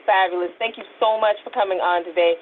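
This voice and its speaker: A woman's voice: mid-range voice, fairly even intonation, quick pace. A woman hosting an interview in a casual, chatty style. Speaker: interview host. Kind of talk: casual chat. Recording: muffled, noisy, radio-like call audio.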